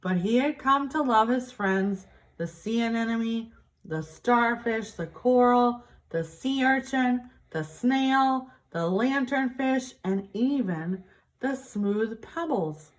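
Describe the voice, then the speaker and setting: A woman reading a picture book aloud to young children in an expressive, deliberate teacher's way. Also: on the high side, poor audio.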